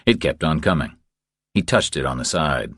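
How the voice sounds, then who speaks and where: Guy narrating a thriller audiobook, really deep voice, lively intonation, super dry studio-like sound. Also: normal speed, breathy edge, dry recording.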